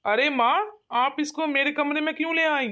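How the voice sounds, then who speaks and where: A woman's voice: high voice, steady tone, moderate pace, bright, forceful tone. A woman voicing a polite, obedient young son in an amateur cartoon comedy skit. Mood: mild protest. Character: mild, obedient young man, a dutiful son.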